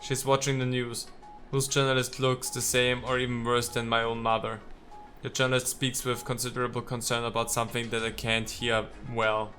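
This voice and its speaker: A male let's player with a bright, forceful voice at an average pitch. He reads visual novel text aloud and drops in playful, wry asides. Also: monotone, moderate pace, faint music behind.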